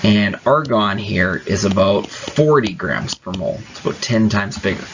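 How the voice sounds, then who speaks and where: A man's voice: fairly low pitch, lively intonation, normal speed, background noise. A man teaching chemistry, explaining each step patiently in a casual tone with small fillers. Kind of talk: classroom explanation. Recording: dull lo-fi recording.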